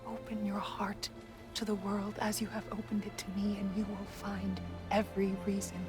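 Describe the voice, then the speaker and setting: A woman playing a gentle, wise wife in a video game drama, serene about death, speaking softly and with measured tenderness. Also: mid-range voice, monotone, over music, lightly acted. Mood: solemn, loving.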